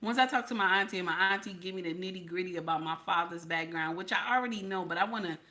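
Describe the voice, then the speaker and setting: A woman talks straight to her livestream audience in a bright, forceful voice. She is emphatic and reassuring, circles back to the same points, and keeps tagging her lines with "okay?" Her tone stays casual and unpolished, with the odd curse. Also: on the low side, fast. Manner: reassuring, frank and conversational, with some swearing. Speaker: livestream host. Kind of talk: casual livestream talk to viewers.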